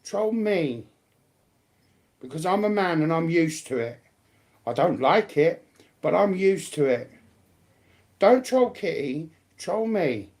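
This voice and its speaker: A man with a fairly high-sitting voice for a male speaker argues in an informal, unscripted way. He is blunt and indignant, driving each point home with emphasis. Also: sing-song, takes it slowly, pauses often, a little mellow.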